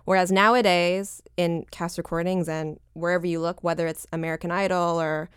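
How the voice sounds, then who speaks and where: A woman with a lower-pitched voice chatting casually as a singer-songwriter guest in an interview, with a lightly joking, self-deprecating manner. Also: flat delivery, fairly quick, clear, resonant tone, dry recording, clean studio sound.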